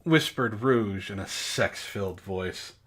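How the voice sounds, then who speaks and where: A man's voice: lowish voice, lively intonation, slow pace, fairly bright tone, breathy edge. A man co-hosting a comedic book club, reading amateur fiction aloud in a mock-serious way with joking asides. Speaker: podcast co-host and story reader.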